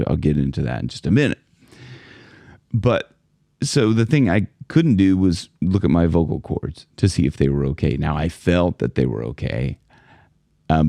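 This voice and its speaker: A man with a very deep, gravelly voice and a dark, mellow tone. He talks casually and loosely, circling back and restarting his sentences, recorded in a dry space. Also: no echo. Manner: rambling, conversational, many restarts. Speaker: vocal coach. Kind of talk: casual talk to camera.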